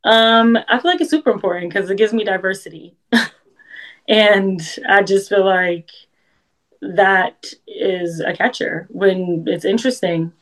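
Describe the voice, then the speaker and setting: A woman, a dancehall artist answering interview questions in a relaxed, candid way and laughing often. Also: medium pitch, a little slow, low-quality recording. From Jamaica and the US.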